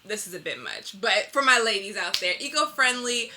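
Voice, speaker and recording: Very bright-voiced woman in casual interview. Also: medium pitch, lively intonation, normal speed, a little hiss or hum. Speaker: interview guest, visual artist.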